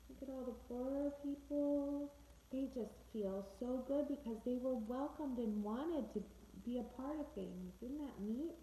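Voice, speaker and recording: A woman with a fairly high, mellow voice reads a children's story aloud. She teaches as she goes, turning to her young listeners with simple questions. The recording sounds echoey, as in a reverberant room. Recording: echoey room with faint background noise.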